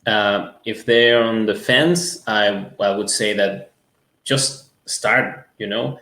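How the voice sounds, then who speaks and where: A man from Ecuador, a native Spanish speaker, giving an earnest conversational testimonial in halting phrases as an interview guest. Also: fairly low pitch, bright, forceful tone. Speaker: music school owner, interview guest. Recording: roomy, echoing sound.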